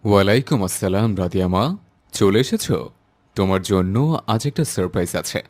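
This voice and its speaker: A man voicing an affectionate, gentle father in a cartoon. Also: deep voice, brisk, close to an everyday voice. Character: affectionate father of a little schoolgirl. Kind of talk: cartoon dialogue.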